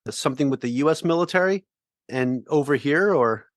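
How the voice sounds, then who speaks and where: A man's voice: on the high side, lively intonation, fairly quick, dry recording, studio quality. A man hosting a finance podcast, enthusiastic and friendly as he interviews his guest. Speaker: podcast host.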